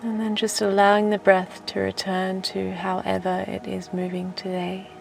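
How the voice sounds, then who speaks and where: A woman leading a guided relaxation meditation in a soft, gentle, soothing voice that turns breathy at times, with unhurried phrases. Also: medium pitch, completely flat delivery. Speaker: meditation teacher and host. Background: music.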